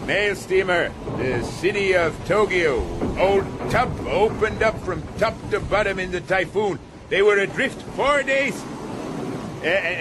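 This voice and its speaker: An actor playing a commanding, wry, sardonic sea captain in a radio drama. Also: male voice, fairly high pitch. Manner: commanding, wry, drawn-out delivery. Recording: loud wind and sea ambience.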